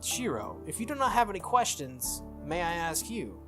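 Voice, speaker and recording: A game player voicing a composed, solemn young woman knight from a visual novel with formal, measured, blunt courtesy. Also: mid-range voice, big pitch swings, over music, lightly acted. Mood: formal, probing.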